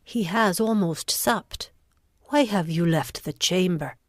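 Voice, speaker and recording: A woman playing a ruthless, commanding noblewoman in a Shakespearean audio drama, with deliberate, goading delivery of the verse. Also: lowish voice, no room sound, studio quality. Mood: impatient.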